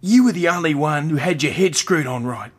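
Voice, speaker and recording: Radio play role: excitable Australian ex-squatter, high, husky voice, streetwise and urgent. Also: male voice, fairly quick. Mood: reminiscing, intense. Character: streetwise, excitable male former squatter, scrounging in a ruined city. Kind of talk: radio drama acting.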